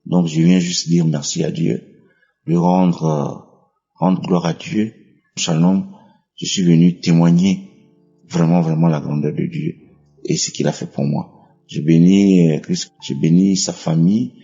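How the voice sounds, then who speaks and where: A man giving an earnest, thankful testimony with pauses. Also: sits low, fairly even intonation, creaky, warm tone, slightly rough, dull audio. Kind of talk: spoken testimony.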